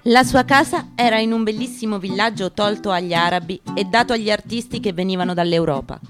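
A woman gives a dramatic, measured literary reading, breaking emphatic short phrases with deliberate pauses. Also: medium pitch. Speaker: stage actress reading aloud. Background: acoustic guitar.

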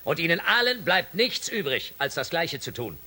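An actor playing a stern space shuttle commander in a science fiction radio play, with an authoritative, dramatic manner and a rough edge to his voice. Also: fairly high pitch, sing-song, moderate pace, sharp tone, heavily acted. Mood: indignant.

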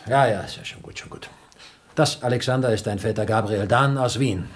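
An actor playing an older, tight-fisted, excitable uncle in a radio play, husky and grumbling with indignant outbursts. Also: male voice, lowish voice, a little fast. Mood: grudging. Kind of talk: German radio play dialogue.

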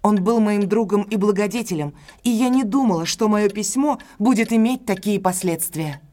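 A woman's voice: mid-range voice, normal speed, mellow, natural voice. An actress playing a proud, wronged and resolute woman in an audio drama, speaking earnestly, with emotion and formality. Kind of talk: audio drama acting, classic detective story.

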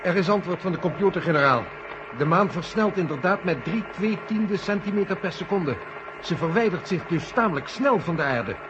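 An actor playing a brisk, businesslike mission control operator in a 1970s science fiction radio play. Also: male voice, high voice, expressive. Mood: dutiful, brisk. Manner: clipped, businesslike. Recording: dull, noisy vintage radio play recording.

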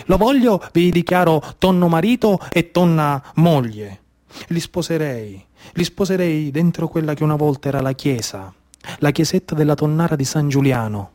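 A man's voice: a little high, darkish tone. A man with a Sicilian accent from the Trapani area delivers a theatrical storytelling monologue in an incantatory, rhythmically repetitive way. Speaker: actor and storyteller. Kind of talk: theatrical monologue storytelling, poetic narration mixed with dialect.

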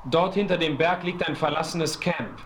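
An actor playing a noble Apache chief in a radio play, speaking calmly and formally. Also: male voice, on the high side, moderate pace, slight background noise, lightly acted. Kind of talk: 1970s radio play acting.

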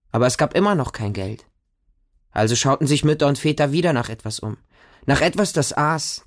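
An actor playing a wounded, innocent boy who tells of his childhood in a radio drama, in simple, deliberate, repeated phrases. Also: mid-range voice, no room sound, studio quality, natural voice. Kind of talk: radio drama monologue, storytelling.

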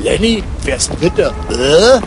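A man's voice: a little high, very animated, a little slow, noisy background. A man playing a scatterbrained, boastful traveller in a comic radio play, with a Berlin accent and a rambling, repetitive, colloquial way of talking. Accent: Berlin German. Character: scatterbrained, boastful male traveller proud of forgetting things.

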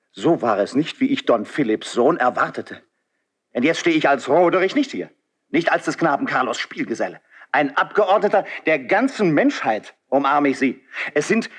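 A man's voice: mid-range voice, slightly rough. A man playing a noble, idealistic marquis and loyal friend in a classical German verse radio drama, declaiming earnestly and eloquently.

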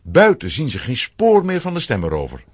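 A man giving a dramatic, expressive scripted narration for a children's audio drama. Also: mid-range voice, brisk, slight background noise.

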